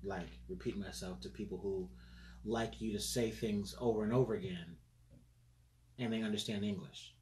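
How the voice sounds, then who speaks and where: A man's voice: lowish voice, fairly even intonation, normal speed, a little mellow. A man in his forties co-hosting a podcast, telling stories and joking around in casual chat. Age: 40s. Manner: joking, playful storyteller. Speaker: podcast co-host. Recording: roomy with faint hum.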